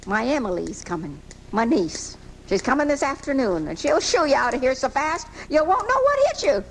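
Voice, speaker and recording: High-pitched, feisty frail old lady. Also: sing-song, normal speed. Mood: fretful. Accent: American. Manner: scolding, folksy, indignant. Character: feisty, fretful frail old spinster lady who defies death. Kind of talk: 1980s live radio drama acting. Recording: noisy amateur off-air radio recording from 1984.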